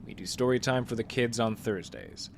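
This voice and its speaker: An actor playing a wry, hardboiled library detective in a noir radio drama, with dry, clipped delivery and first-person narration. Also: male voice, mid-range voice, big pitch swings, normal speed, gravelly, background noise. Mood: dry, kindly.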